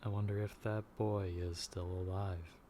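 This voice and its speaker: A man playing an ancient, coldly curious vampire in an audio roleplay, musing with soft menace and amusement. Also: on the low side, little pitch movement, pitched below the performer's own voice, close to an everyday voice. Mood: amused, musing. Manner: slow, musing, softly menacing. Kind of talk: dramatic audio roleplay monologue. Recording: noisy track with storm ambience.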